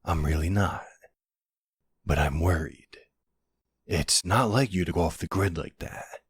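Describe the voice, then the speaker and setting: A man's voice: sits low, a little slow, husky, no room sound, heavily acted. A man acting as a worried, insistent boyfriend in a roleplay audio drama, speaking in an earnest, pleading, exasperated way.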